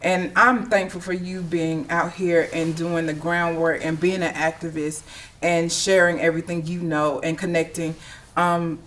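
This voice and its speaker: A woman's voice: on the low side, little pitch movement, a little slow, slight background noise. A woman hosting a reality show reunion, asking cast members questions with encouragement and frequent laughter. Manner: warm, encouraging, laughs often. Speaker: reunion host. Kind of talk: casual interview questions.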